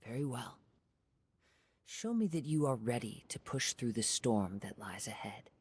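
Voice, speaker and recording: An actor playing a calm, thoughtful young wandering swordsman in a video game, speaking in a measured, formal way. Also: medium pitch, slightly rough. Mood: calm advice. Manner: measured, formal, soft-spoken.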